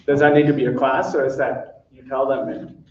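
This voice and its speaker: A man's voice: mid-range voice, level tone, a little fast, darkish tone. A man from Sri Lanka speaking bluntly and emphatically as a participant in an informal community meeting. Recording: muffled distant mic, echoey meeting room.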